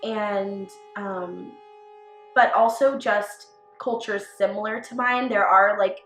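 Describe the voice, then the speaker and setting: A young woman from Texas talks through her answers slowly and thoughtfully, pausing often on "um". The room around her is noticeably echoey. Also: medium pitch, fairly even intonation, a bit bright, faint music behind. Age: young adult. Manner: thoughtful, many "um" pauses. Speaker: interviewee, college junior. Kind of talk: casual documentary interview. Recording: roomy echo.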